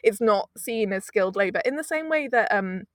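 Woman chatting as a guest, lively, trips over words a bit, dry sound. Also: medium pitch, no room sound. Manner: animated, fast-talking with frequent restarts. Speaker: audio producer, guest. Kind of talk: casual interview chat.

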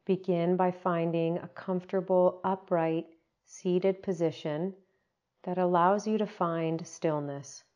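A woman leading a guided meditation, giving gentle, unhurried instructions with long pauses. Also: lowish voice, fairly even intonation, dull audio.

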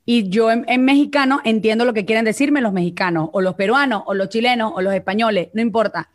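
Venezuelan woman, vocal coach, bright conversational teacher, higher voice. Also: fast talker. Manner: explanatory, animated, checks in with "¿ok?". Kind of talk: casual teaching chat on a live stream.

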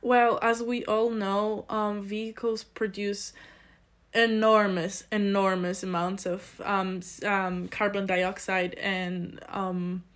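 A woman's voice: medium pitch, flat delivery, slow. Young Polish woman giving earnest interview answers. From Poland. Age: about twenty. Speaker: interview guest, student committee chair.

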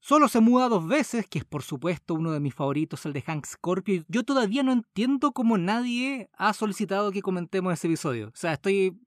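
A man's voice: high voice, lively intonation, fairly quick, few pauses, no room sound, clean studio sound. A man with a Chilean accent co-hosting a podcast in casual, conversational talk. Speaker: podcast co-host. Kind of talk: casual chat.

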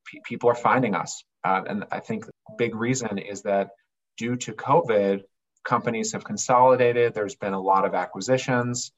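A man's voice: fairly low pitch, steady tone, normal speed, lo-fi sound. A man who runs a family business explaining his company earnestly in an interview. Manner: earnest, explanatory, occasional restarts. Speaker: business owner, interview guest. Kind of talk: conversational interview.

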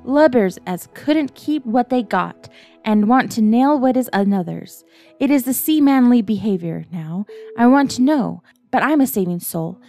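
A woman's voice: fairly high pitch, animated, unhurried, warm tone, soft background music. A woman reading as an ailing old sea captain. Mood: agitated. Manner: pleading and cursing, rough seafaring speech. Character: ailing old male sea captain, rum-craving, blustering. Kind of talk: storybook reading.

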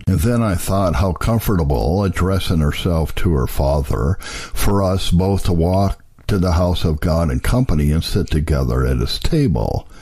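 A man with a husky voice gives a solemn, measured, earnest reading of an old religious text, in the manner of an audiobook narrator. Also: deep voice, mellow.

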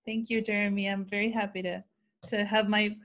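A woman's voice: medium pitch, little pitch movement, brisk, fairly bright tone, muffled recording. A woman teacher who grew up in Ciudad Juarez and whose first language is Spanish, talking in a casual interview with rambling, self-correcting storytelling. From Mexico-US border, Ciudad Juarez. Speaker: teacher, guest.

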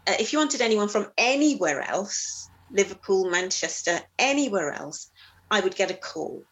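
A woman's voice: medium pitch, expressive, normal speed, fairly bright tone, poor audio. A woman with a Cardiff accent, an actress reflecting in a casual discussion with wry storytelling. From Wales.

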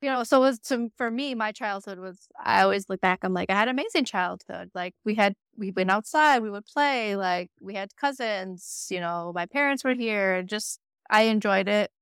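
A woman's voice: mid-range voice, moderate pace, fairly bright tone, clear, resonant tone, no room sound, studio quality. A woman in her thirties, born in Croatia and raised in America, telling her family story in a casual, chatty way with lots of fillers. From born in Croatia, raised in the United States. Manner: chatty, frequent "like" fillers and laughs. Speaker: podcast guest.

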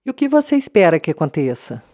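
Composed, lowish-voiced Brazilian woman radio host. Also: very animated, fast talker, dark tone. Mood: cool, probing. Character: composed, probing woman radio host interviewing lost souls. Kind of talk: radio drama acting.

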